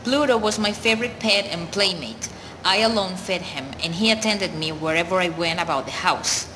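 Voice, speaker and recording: A woman playing a confessional narrator in a radio play, telling the story in formal, measured literary prose. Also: on the low side, natural voice. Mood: wistful. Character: confessional narrator, an animal lover ruined by drink. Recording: noisy, long hall-like reverb.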